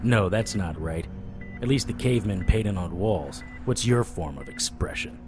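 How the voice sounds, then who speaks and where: Deep-voiced hard-boiled private eye, music behind. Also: male voice, expressive, normal speed. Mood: defiant, sardonic. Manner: sardonic, dramatic. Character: hard-boiled, wisecracking male vampire-hunting private eye. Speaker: narrator and lead actor. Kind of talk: first-person noir audio drama narration. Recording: music bed under the voice. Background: dramatic music.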